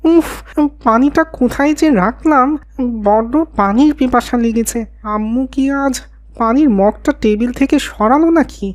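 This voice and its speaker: A woman acts out a spiteful young schemer from a cartoon. The voice sits high and moves slowly, with theatrical relish. The sound is very dry and close. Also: no echo, close to an everyday voice. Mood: groggy, thirsty. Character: spiteful scheming boy, Mahadi, gloating then wailing. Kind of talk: cartoon voice acting.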